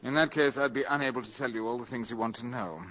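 An actor playing a suave, self-assured valet turned spy in a 1950s radio drama, sardonic and theatrically polite. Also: male voice, medium pitch, fairly even intonation, quick pace, sharp tone, lightly acted. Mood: amused defiance. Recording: dull old 1950s radio recording.

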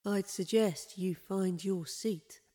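A woman playing a cool, menacing noblewoman of great power in a fantasy audio drama, speaking with unhurried threat. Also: mid-range voice, warm tone, close to an everyday voice. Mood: coolly menacing. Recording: long echoing hall.

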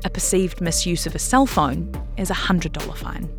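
A woman's voice: lowish voice, expressive, normal speed, music in the background. A woman reading a scripted long-form news feature as narrator.

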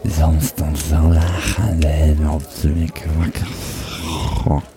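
Man playing a deep, halting old Roman soldier. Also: creaky, exaggerated voice. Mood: weary, grave. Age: old man as played. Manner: halting, stammering, losing his thread. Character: old, drunken Roman legionary recounting a frightening tale. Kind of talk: first-person audio drama storytelling.

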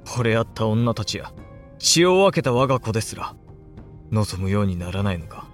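Earnest, animated young samurai heir. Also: male voice, lowish voice, normal speed, soft background music. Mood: bitter brooding. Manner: formal, emotional samurai speech. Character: earnest, frustrated young samurai heir, dutiful but resentful. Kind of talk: period audio drama acting.

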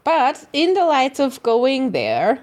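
An Austrian-accented woman co-hosts a relaxed podcast conversation. She wanders through her thoughts with many restarts and drops the occasional curse word. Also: sits high, slow. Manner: rambling, frequent restarts and swearing. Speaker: podcast co-host. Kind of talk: casual chat.

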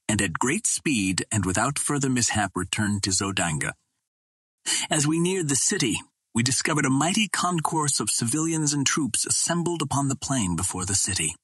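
A man's voice: medium pitch, a bit bright, breathy edge, no room sound. A man reading a first-person adventure novel aloud as scripted audiobook narration. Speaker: audiobook reader.

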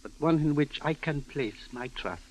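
An actor playing a calm, enigmatic elderly Chinese doctor in old-time radio drama, speaking in measured, formal, inverted sentences. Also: male voice, a little high, lightly acted, poor audio. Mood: serene, formal.

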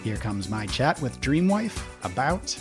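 A man hosting a music podcast, asking loose, halting questions with "uh" fillers. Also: mid-range voice. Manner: relaxed, hesitant questions with "uh". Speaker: podcast host, interviewer. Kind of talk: podcast interview.

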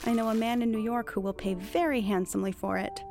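A cunning, well-read thief in a period audio drama , acted by a woman. Her voice sits fairly high, with clear music underneath. She speaks in articulate, mock-courteous sentences, teasing and entirely sure of herself. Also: steady tone, quick pace, darkish tone, natural voice. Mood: smug. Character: cunning, bookish woman thief posing as a witch.

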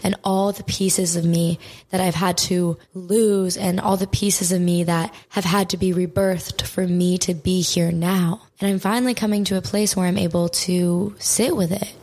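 A young woman with a hoarse, raspy voice, giving an emphatic motivational talk to her viewers and swearing often for stress. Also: fairly low pitch, fairly even intonation, moderate pace, a little mellow. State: hoarse from crying.

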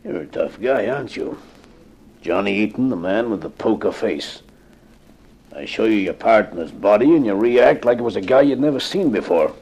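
An actor playing a suspicious, sarcastic police lieutenant who probes and insinuates, in a radio crime drama. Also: male voice, on the low side, expressive, moderate pace, darkish tone, husky. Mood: suspicious, needling. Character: suspicious, needling hard-boiled male police lieutenant. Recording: noisy old 1950s radio recording.

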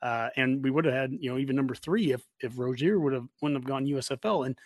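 A man's voice: mid-range voice, fast, darkish tone, dry recording. An American man in his forties chatting casually as a sports show host, talkative and rambling. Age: 40s. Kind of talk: casual interview chat.

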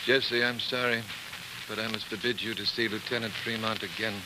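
A man acting a protective older senator, a stern father with measured, firm speech, in a 1940s radio drama. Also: lowish voice, fairly even intonation, natural voice. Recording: hissy, noisy 1940s radio recording.

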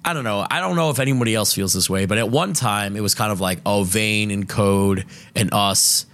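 A man with a somewhat low voice co-hosts a casual podcast. He rambles through stories with plenty of fillers and swearing. His delivery stays loose and conversational. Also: fairly quick, a bit bright. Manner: loose, profane storytelling with many fillers. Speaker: podcast co-host. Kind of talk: casual chat.

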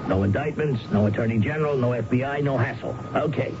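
Actor as smug radio crook, muffled broadcast. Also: male voice, medium pitch, fast, background noise, close to an everyday voice. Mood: cold, smug. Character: smug, condescending male crook, coolly menacing. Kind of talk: radio drama acting. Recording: dull vintage radio broadcast with music.

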